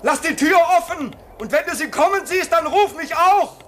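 A man acting a very young, impatient, yearning figure, excitable and plaintive, in a German radio play. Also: extremely high pitch, normal speed, slight background noise. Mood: eager. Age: young adult.

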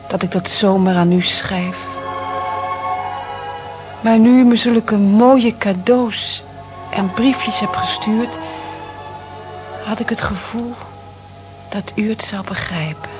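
Actress as disillusioned young woman, very slow halting confession, radio play, clear music behind, dull recording. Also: medium pitch, lightly acted. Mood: tender, confiding. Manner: slow, halting, intimate delivery. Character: young woman Molly, wistful, disillusioned, confiding in a letter. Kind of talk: radio drama monologue, letter read aloud.

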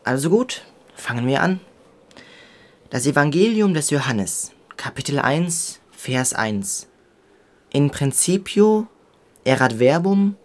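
A performer playing a cautious thirteen-year-old medieval boy, an earnest know-it-all big brother with a husky voice, in a German radio drama. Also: deep voice, expressive, slow. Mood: conceding.

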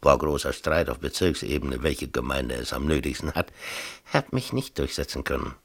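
An actor in a 1970s East German radio play, playing a harried but jovial middle-aged local official who talks colloquially and hesitantly, with many tag questions. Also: male voice, very deep voice, animated, moderate pace, vocal fry, fairly bright tone, dry recording, exaggerated voice. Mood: matter-of-fact.

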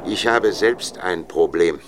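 A man's voice: low voice, slow, noisy background. Commanding older film director played by a man. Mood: businesslike. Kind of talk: German audio drama dialogue.

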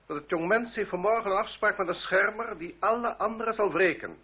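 A courtly, haughty French marquis and duellist in a radio play. His voice is husky and fairly high for a man, highly animated yet formal and coolly threatening. The old recording is dull and lo-fi. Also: brisk. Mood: haughty, icy. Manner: formal, haughty, elaborately polite.

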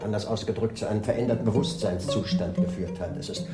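Actor as formal doctor in echoey room. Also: male voice, fairly low pitch, steady tone, fast, over music, natural voice. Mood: grave, clinical. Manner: formal, clinical. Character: formal hospital doctor delivering grave news. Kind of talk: radio drama acting.

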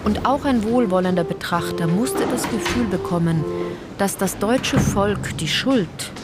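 An actress as a sober, morally pointed narrator in a scripted radio play. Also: female voice, mid-range voice, unhurried, natural voice. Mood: sober, reproachful. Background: prominent music.

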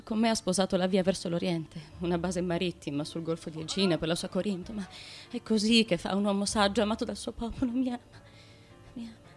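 An actress playing the devoted, tormented wife of an ancient Greek tyrant in a radio drama monologue, with an intense, theatrical delivery. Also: female voice, medium pitch, steady tone, fast, faint music behind, lightly acted. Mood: devoted, pleading. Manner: intense, dramatic, with hushed asides and outcries.

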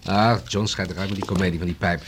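A man's voice: sits low, steady tone, fast, noisy background. A man playing a dry, bantering police inspector in a radio drama, blunt and teasing in manner.

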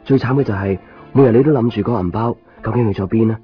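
A man's voice: fairly low pitch, quick pace, natural voice. An actor playing a lovesick, obsessive young man in a Cantonese radio drama with a Hong Kong accent, plaintive and insistent. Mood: brooding, melancholy. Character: lovesick young copy-shop man obsessively searching for missing girlfriend. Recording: muffled 1990s radio drama recording. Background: music.

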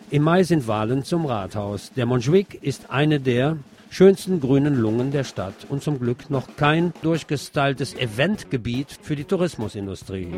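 A man's voice: mid-range voice, a little mellow. A man presenting scripted radio news commentary, with an opinionated manner and wry asides. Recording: music bed behind the voice. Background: music.

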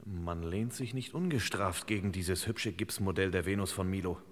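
Suave, deadpan boss detective, lowish voice, clipped quips, reverberant vintage radio crime comedy. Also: male voice, moderate pace. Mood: conspiratorial, deadpan. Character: suave, pompous, wisecracking master sleuth in charge. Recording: reverberant old radio play recording.

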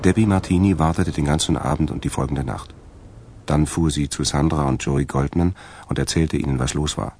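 A man with a gravelly, husky voice reading scripted narration in a crime radio play. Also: a bass voice, fairly quick, a little mellow, slight background noise.

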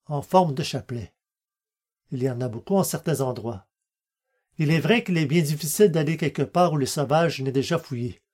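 A man's voice: on the high side, a little mellow. A man hosting a podcast, reading scripted narration and announcements. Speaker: podcast host and reader.

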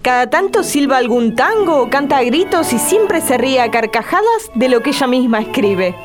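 Brief: female narrator for a scripted radio documentary, high voice, very lively melody, music clearly behind. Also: normal speed.

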